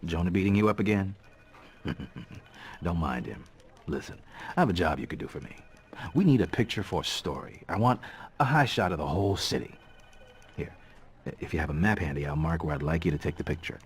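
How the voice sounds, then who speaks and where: A man's voice: low voice, expressive, a little fast. A man voicing a kindly, supportive newspaper editor in a video game, with an easygoing, avuncular manner. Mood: kindly, encouraging.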